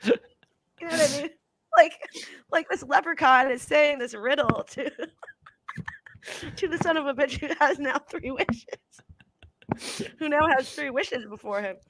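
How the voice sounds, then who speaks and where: A woman's voice: high-pitched, a little slow, long pauses, fairly bright tone, poor audio. A woman in her thirties co-hosting a casual live online chat show from a room at home, playful and teasing, with a cough, talking into a handheld mic. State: has a cough. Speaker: co-host.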